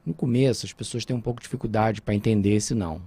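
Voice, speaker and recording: A man's voice: fairly low pitch, quick pace, warm tone, breathy edge. A man with a Brazilian Portuguese accent teaching a programming lesson over a screen recording, talking informally and checking in with his students.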